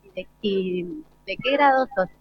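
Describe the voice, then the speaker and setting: A woman with an Argentine accent hosts a live broadcast and interviews guests. Her pitch is average for a woman, and the recording sounds a bit dull and lo-fi. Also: unhurried. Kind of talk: unscripted live hosting.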